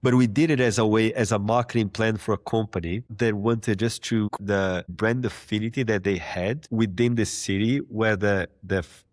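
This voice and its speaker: Low-voiced Brazilian man, accented. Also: steady tone, normal speed, few pauses, no echo, studio quality. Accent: Brazilian Portuguese. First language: Portuguese. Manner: rambling, leans on "like" and restarts. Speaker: podcast guest. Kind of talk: conversational interview.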